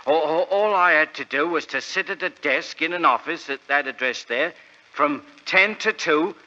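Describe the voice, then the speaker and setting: Plodding English shopkeeper, very bright voice. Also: male voice, fairly high pitch. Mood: puzzled, aggrieved. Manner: halting, deferential, wordy storytelling. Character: earnest, plodding, thrifty London shopkeeper. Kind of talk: 1940s radio drama acting. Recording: dull, reverberant vintage radio recording.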